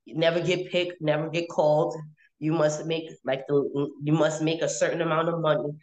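A woman's voice: sits low, level tone, moderate pace, darkish tone, muffled recording. Woman in her twenties, candid casual talk. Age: 20s. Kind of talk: casual personal storytelling.

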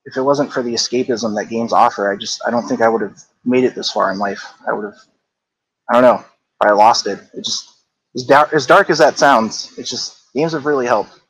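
A man's voice: medium pitch, fairly quick, a bit bright, low-quality recording. A man in his thirties, a guest on a podcast, talking casually and thoughtfully with frequent hedges and fillers. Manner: reflective, hedging with "like" and "I don't know". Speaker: guest, game company co-founder. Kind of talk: casual interview chat.

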